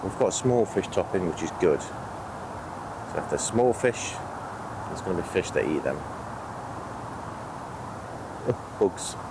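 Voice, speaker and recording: A British man with a croaky, sniffly voice from a cold, chatting casually and a little hesitantly as a fishing vlogger outdoors. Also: sits low, expressive, measured pace, very noisy. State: has a cold. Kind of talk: casual outdoor vlog talk to camera. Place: outdoors on a lake bank.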